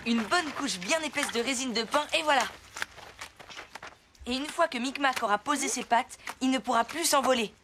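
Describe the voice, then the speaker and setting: A woman's voice: high voice, normal speed, background noise, lightly acted. A woman voicing a clever, kind young boy hero in a cartoon, earnest and persuasive, with music playing. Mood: confident, scheming. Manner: clear, earnest, coaxing. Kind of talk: animated cartoon acting. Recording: loud music under the voice.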